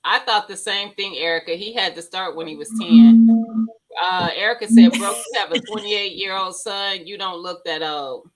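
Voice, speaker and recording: A woman's voice: mid-range voice, moderate pace, a crowd in the background, low-quality recording. A woman in her forties talking casually in a group chat. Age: 40s.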